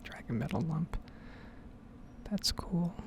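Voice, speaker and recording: A woman giving whispered and soft-spoken ASMR game commentary in a breathy, rambling, unhurried way. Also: sits low, lively intonation, background noise. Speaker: gaming ASMR video creator.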